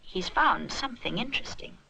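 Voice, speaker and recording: Animated, bright-voiced woman telling a children's story. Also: medium pitch, fairly quick, gravelly, low-quality recording. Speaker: narrator. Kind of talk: expressive scripted children's story narration.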